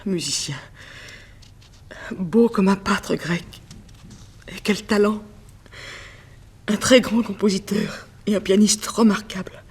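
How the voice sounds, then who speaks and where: A woman's voice: mid-range voice, slow, long pauses, darkish tone, breathy edge. An actress playing a grieving middle-aged mother, a former seamstress, in a plaintive, dramatic 1960s French radio mystery style. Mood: wistful, tender.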